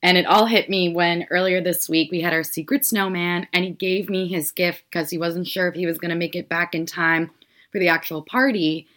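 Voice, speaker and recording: A young woman with a fairly low voice co-hosts a relaxed podcast. She rambles through stories in an easygoing, chatty way and giggles between thoughts. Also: moderate pace. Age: 20s. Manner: rambling storytelling, giggles often. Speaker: podcast co-host. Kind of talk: casual podcast chat.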